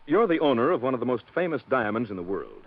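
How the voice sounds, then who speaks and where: An actor playing a calm, sharp-witted detective in an old radio drama, with a measured, cutting delivery. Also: male voice, mid-range voice. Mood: cool. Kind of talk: 1940s radio acting. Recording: tinny old radio broadcast.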